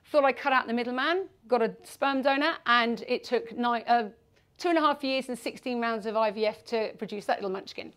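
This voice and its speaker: A woman's voice: a little high, a little fast, a bit bright. A woman in her forties from England with a West Country accent, giving a self-deprecating, jokey presentation to an audience in a meeting room. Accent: West Country English. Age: 40s. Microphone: far camera.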